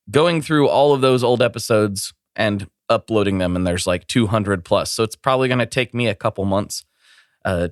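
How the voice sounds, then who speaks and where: A congested man with a fairly low voice hosts a podcast in a rambling, apologetic way. The sound is dry and close, with little room in it. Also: dry recording. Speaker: podcast host. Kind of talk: casual podcast chat.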